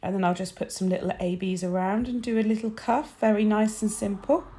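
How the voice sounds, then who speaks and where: A woman with a croaky early-morning voice, chatting bubbly through a nail art tutorial and sharing tips. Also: mid-range voice, a little fast. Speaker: nail technician.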